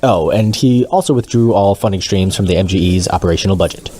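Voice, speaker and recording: A man's voice: low-pitched, quick pace, mellow, lightly acted. A man playing a sanctimonious, condescending radio news co-anchor who reads satirical bulletins with mock earnestness. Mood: self-righteous.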